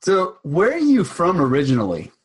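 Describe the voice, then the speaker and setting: A man's voice: a little high, wildly swooping pitch, moderate pace, breathy edge, no room sound. A young man hosting a podcast, talking enthusiastically and casually, often saying "like" and repeating himself. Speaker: podcast host.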